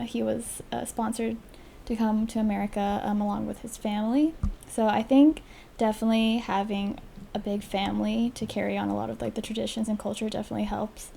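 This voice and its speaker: A woman's voice: mid-range voice, creaky, mellow, a little hiss or hum. A young woman speaking in a casual podcast discussion, hesitant and full of ums and uhs. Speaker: podcast panelist.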